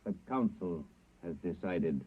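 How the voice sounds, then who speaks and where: An actor playing a coldly logical alien council envoy in formal, literal pronouncements, in an old-time radio science fiction drama. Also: male voice, deep voice, animated, a little slow, slightly rough, narrow, tinny audio, exaggerated voice.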